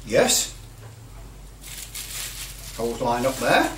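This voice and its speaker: A man giving a casual hands-on commentary as a hobbyist, halting and muttering a little to himself as he works. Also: medium pitch, sing-song, a bit bright. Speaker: hobbyist presenter. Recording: roomy, distant mic with light noise.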